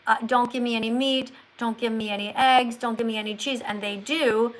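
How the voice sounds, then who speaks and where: A woman with a fairly high voice hosts a live call-in chat. She speaks like someone giving a lesson, in short emphatic statements, and presses with pointed questions. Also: steady tone, a little fast, poor audio. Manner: probing, presses callers with follow-up questions. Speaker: livestream host.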